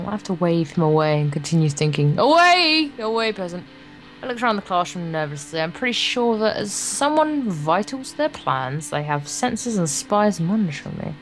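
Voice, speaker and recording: Low-pitched playful woman reading a game aloud. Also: low-quality recording. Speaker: let's player reading a visual novel aloud. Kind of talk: gameplay reading in character with joking asides.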